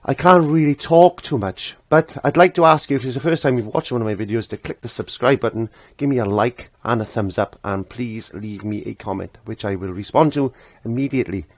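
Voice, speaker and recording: A middle-aged man from Wales with a croaky voice from laryngitis, talking plainly step by step as he teaches acrylic painting. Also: medium pitch, a little fast, hardly any pauses. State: hoarse from laryngitis. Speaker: art teacher. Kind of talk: painting tutorial.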